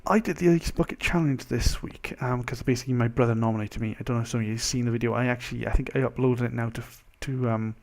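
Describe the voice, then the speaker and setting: Rambling young Welsh man, creaky voice. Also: mid-range voice, quick pace, hardly any pauses. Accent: mild Welsh. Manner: rambling, animated, self-interrupting. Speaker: vlogger. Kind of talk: casual chat to camera.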